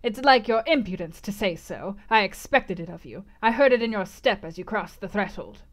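Woman putting on a harsh old crone fortune teller, bold and decided, loud and knowing. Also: medium pitch, lively intonation, normal speed, lightly acted.

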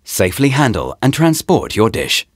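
A man reading a scripted promotional voice-over in short, deliberate, presentational phrases, as a product narrator. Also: medium pitch, wildly swooping pitch, husky, dry recording, clean studio sound. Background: background music.

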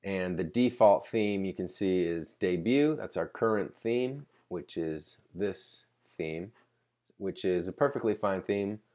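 A man giving a casual, unscripted screen-recording tutorial, explaining conversationally and pausing mid-sentence to think. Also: sits low, darkish tone. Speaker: tutorial presenter.

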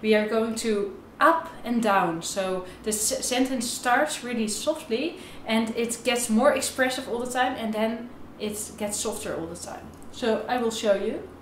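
A young woman with a fairly high voice speaks as a violin teacher in a video tutorial. She explains things informally, with slightly non-native phrasing. The room adds a clear echo to her speech. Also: a little slow, hardly any pauses, a little hiss or hum. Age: young adult. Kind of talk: instructional video tutorial, talking to camera. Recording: roomy echo, violin playing at times.

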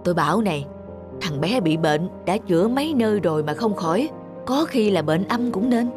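A gossipy, superstitious middle-aged village woman from an animated folk tale, played by an actress. She urges and persuades insistently, with music playing faintly underneath. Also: medium pitch, moderate pace, lightly acted. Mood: persuasive.

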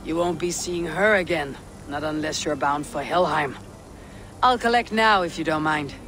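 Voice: medium pitch, moderate pace, a bit bright, a little hiss or hum, natural voice. An actor playing a blunt, hard-bargaining Viking warrior in a video game, terse and menacing. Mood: businesslike. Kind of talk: video game cutscene acting.

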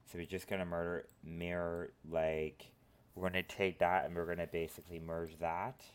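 A man with a cold, sniffling as he talks through a software modeling tutorial at his computer, rambling with lots of filler phrases. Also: low voice, little pitch movement, moderate pace, smooth. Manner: rambling, filler-heavy instructions. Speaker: tutorial host. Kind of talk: screen-recorded software tutorial.

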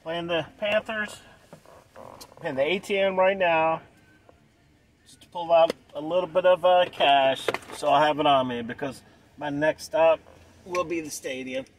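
A man's voice: fairly high pitch, measured pace, pauses often, slight background noise. Hyped man vlogging from his car. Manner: hyped, repeats himself, clears throat often. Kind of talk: excited casual vlog. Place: inside a parked car. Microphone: dashboard camera close up.

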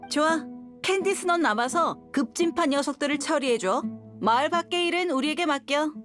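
A woman's voice: high voice, moderate pace, close to an everyday voice. An actress playing a confident, decisive mercenary woman in a video game, assured and blunt. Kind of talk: game character dialogue. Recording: music behind the voice.

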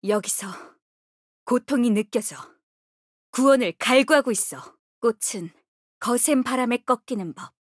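A woman's voice: fairly high pitch, animated, a little slow, no room sound, studio quality. A woman voicing a resolute, composed young female healer and guardian in a video game, speaking firmly with a solemn, commanding manner. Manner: solemn, commanding, short crisp delivery. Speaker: game character voice actor. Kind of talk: video game character voice lines.

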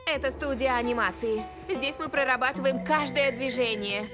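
A woman's voice: high-pitched, normal speed, lightly acted. An actress playing an enthusiastic woman who guides visitors around a game studio in a cartoon dub. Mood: excited. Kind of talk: animated cartoon dubbing. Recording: music playing behind the voice.

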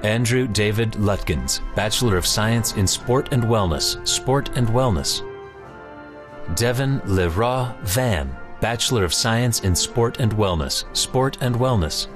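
A man reading out graduates' names and degrees at a commencement in a steady, formal announcing manner. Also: lowish voice, measured pace, music in the background. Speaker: name reader.

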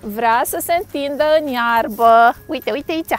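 A woman's voice: on the high side, faint music behind. A middle-aged woman hosting a children's storytime, reading a picture book expressively and playfully in simple phrases. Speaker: storytime host. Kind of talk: animated children's picture-book read-aloud. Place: outdoors on a grassy lakeside bank.

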